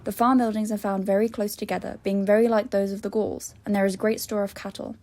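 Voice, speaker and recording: A young woman reads a historical account aloud as a student reader. Her delivery is formal and measured, shaped as a dramatic reading. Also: mid-range voice, little pitch movement, a little mellow. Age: young adult.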